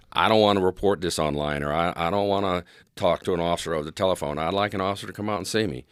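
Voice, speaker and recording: A man with an extremely low voice answers as an expert guest in a conversational interview. He is agreeable and measured, hedging and restarting as he explains. The sound is dry, with almost no room. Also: dry recording.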